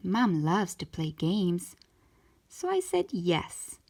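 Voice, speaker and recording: A woman reading a children's story aloud as a storytime host, expressively and with drawn-out emphasis for young listeners. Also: fairly low pitch, sing-song, a little mellow. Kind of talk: animated children's story narration.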